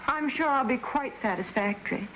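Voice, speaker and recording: Flustered young job seeker, high voice, stammers, coaxing, 1940s film. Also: female voice, expressive, fairly quick, fairly bright tone, a little hiss or hum, low-quality recording. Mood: pleading. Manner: stammering, wheedling. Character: flustered young woman, pleading and coy. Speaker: job applicant.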